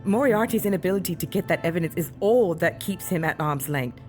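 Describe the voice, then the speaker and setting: A woman's voice: on the low side, expressive, brisk, darkish tone, lightly acted. Guarded imprisoned mother voiced by an actress, earnest and urgent, audio drama with light music beneath. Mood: grave, urgent. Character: guarded, anguished imprisoned mother hiding evidence.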